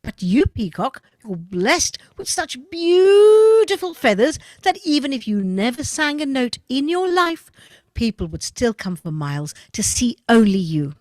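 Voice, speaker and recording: A patient, kindly farmer, as a woman storyteller puts him on, speaking low and slow to soothe. The delivery is reassuring, unhurried and dry-sounding. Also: very animated, no echo. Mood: gentle. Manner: gentle, reassuring, lowered voice. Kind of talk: children's storytelling.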